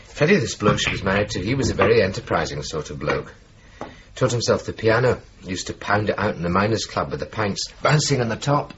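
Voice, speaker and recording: Radio play: a man as a mild young wartime RAF officer, lowish animated voice, earnest and questioning, noisy recording. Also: fairly quick, lightly acted. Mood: chatty. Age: young adult. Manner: chatty, questioning, mildly complaining. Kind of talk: radio drama acting, conversational dialogue. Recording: muffled, noisy old radio broadcast recording.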